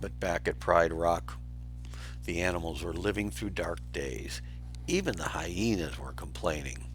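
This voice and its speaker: A man reading a children's storybook aloud as an expressive storyteller. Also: deep voice, unhurried, soft background music.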